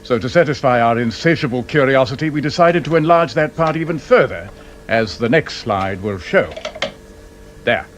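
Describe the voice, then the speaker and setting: A man's voice: mid-range voice, expressive, normal speed, natural voice. An actor playing a wry, sarcastic male investigator with a pedantic, teasing manner and a British accent in a radio drama. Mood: wry, instructive.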